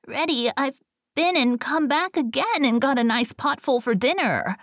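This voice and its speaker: A woman voicing a small, plucky young male pig from a storybook, polite yet defiant, in a squeaky, emphatic voice. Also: expressive, moderate pace, a bit bright, no echo, clean studio sound. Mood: chirpy. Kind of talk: storybook character voice.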